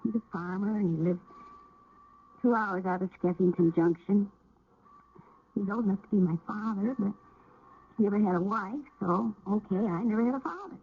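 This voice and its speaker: Guarded young female runaway for radio drama: dry, hesitant, husky, muffled phone-like broadcast. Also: mid-range voice, moderate pace, pauses often. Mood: confiding, downcast. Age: young adult. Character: wary, guarded eighteen-year-old runaway girl.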